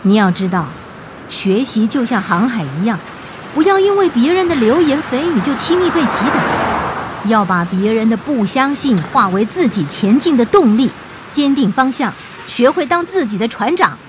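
A woman's voice: a little high, big pitch swings, normal speed, background noise. A woman acting a devoted, determined middle-aged immigrant mother in an audio drama, speaking earnestly and insistently. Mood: firm, encouraging. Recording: music behind the voice. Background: background music.

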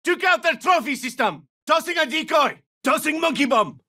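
Hardened game soldier, terse combat callouts, really forceful, pitch jumps around a lot. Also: male voice, expressive, moderate pace, recorded from a distance, exaggerated voice. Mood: urgent, shouting. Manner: clipped, terse, urgent radio-style callouts. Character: tense, battle-hardened male special forces soldier.

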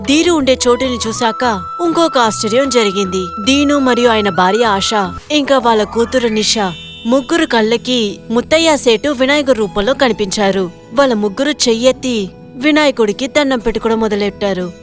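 High-voiced woman narrating a moral story. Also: normal speed, music in the background.

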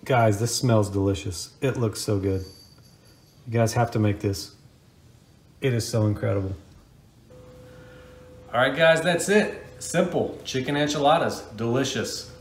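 A man's voice: medium pitch, unhurried, pauses often. Middle-aged American man, echoey kitchen. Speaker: home cooking video host. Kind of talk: casual how-to cooking narration. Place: home kitchen, indoors.

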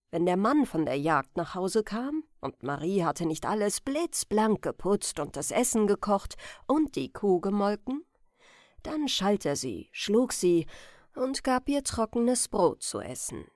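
A woman narrating a bedtime fairy tale, telling it gently and expressively as a storyteller. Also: medium pitch, no echo, clean studio sound. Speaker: storyteller, channel host.

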